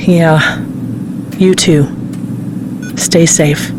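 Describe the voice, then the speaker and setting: A woman playing a grave, weary scientist delivering dire news in an audio drama, somber and deliberate. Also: low voice, fairly even intonation. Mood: resigned. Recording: noisy car ambience.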